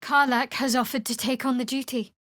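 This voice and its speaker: A woman's voice: high voice, steady tone, brisk, fairly bright tone, no echo, clean studio sound. A woman playing a guarded, sardonic young female cleric in a fantasy video game, speaking in a cool, wry, clipped manner.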